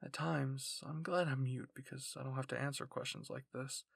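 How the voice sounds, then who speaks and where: A man's voice: mid-range voice, steady tone, normal speed, creaky, fairly bright tone, breathy edge, no room sound, clean studio sound. A man narrating a scripted first-person fan fiction story as an ASMR roleplay.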